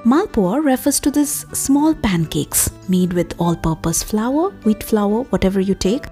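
A woman with an Indian accent and a breathy voice, hosting a storytelling podcast and speaking directly to her listeners. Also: medium pitch, expressive, unhurried, dark tone, soft background music. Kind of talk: podcast narration, addressing listeners.

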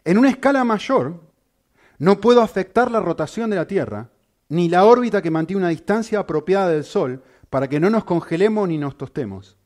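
A man preaching, his voice sitting a little high for a man and swinging widely up and down as he works through the sermon. He keeps turning to the listeners with rhetorical questions. The delivery is lively and emphatic throughout. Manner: animated, rhetorical questions to the congregation.

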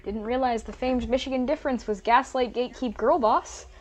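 A young woman playing a bewildered, polite but sceptical new student in a comedic audio drama, speaking hesitantly with a deadpan edge. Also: fairly high pitch, fairly quick, natural voice. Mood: confused, sceptical.

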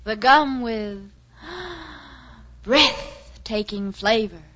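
A woman delivering a breathy slogan in a mid-century radio commercial. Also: fairly high pitch, expressive, extremely slow, a bit bright. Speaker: commercial jingle voice. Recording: muffled old radio recording.

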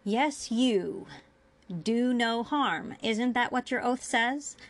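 A woman's voice: mid-range voice, moderate pace, lightly acted. A woman voicing a cruel female alien interrogator in a dramatic story reading, accusing and full of contempt.

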